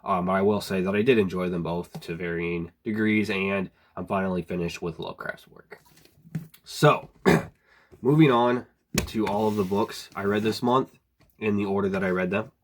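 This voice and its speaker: A man with a lowish, slightly hoarse voice, just getting over a cold. He reviews horror books in a relaxed, rambling way, full of "like" and "um", and clears his throat now and then. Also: moderate pace, slight background noise. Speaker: book reviewer. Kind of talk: casual book review vlog.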